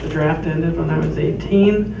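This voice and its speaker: A woman's voice: low voice, moderate pace, vocal fry. Woman in her sixties, soldier's mother speaking from the floor; emotional, earnest; distant pickup in a noisy, reverberant hall. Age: 60s. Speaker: audience member, mother of a soldier. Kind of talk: unscripted remarks at a town hall. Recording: echoey room, distant mic, noisy.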